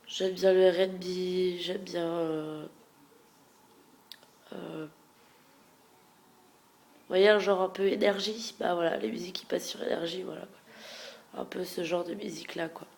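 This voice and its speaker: A young woman with a stuffy head cold and a nasal, congested voice, chatting frankly through a question-and-answer video and often trailing off into soft murmurs. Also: lowish voice, steady tone, a little slow, vocal fry, pauses often. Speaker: beauty vlogger. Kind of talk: casual Q&A tag video.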